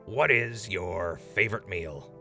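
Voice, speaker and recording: A man's voice: lowish voice, unhurried, creaky, sharp tone, soft background music, heavily acted. A man playing an earnest, cheeky wasteland radio host in an audio drama, conversational and deferential. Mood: friendly, cheeky. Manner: conversational, deferential, hesitant when flustered. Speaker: radio host interviewing a general.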